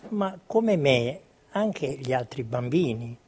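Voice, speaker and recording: An elderly man gives unscripted testimony about his own past. His voice has a middling pitch, and he reminisces haltingly, pausing and repeating words as he searches. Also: lively intonation, darkish tone, slightly rough. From Italian colonial Libya. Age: older, over 70. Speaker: witness recalling his childhood. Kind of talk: spontaneous recorded testimony.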